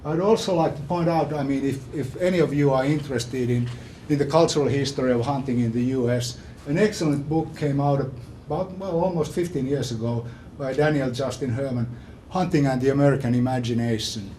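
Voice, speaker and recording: A man with a strong Finnish accent giving an informal academic lecture, haltingly, often repeating words and phrases. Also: medium pitch, mellow, slight background noise. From Finland. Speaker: visiting scholar giving a lecture.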